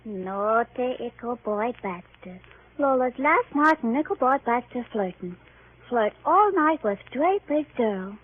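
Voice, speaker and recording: Swooping, baby-talking young coquette. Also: female voice, a little high, lively intonation, measured pace, slight background noise. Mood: pouting, scolding. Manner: cooing baby talk, third-person pouting. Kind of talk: 1930s radio comedy acting. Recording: tinny, narrow-band old radio broadcast.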